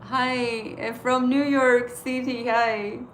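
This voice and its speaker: Slow-talking Estonian-accented woman streamer. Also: on the high side, steady tone, slight background noise, poor audio. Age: 30s. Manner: chatty, asks viewers questions, halting non-native English with fillers. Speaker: livestream trading host. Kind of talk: casual livestream chat with viewers.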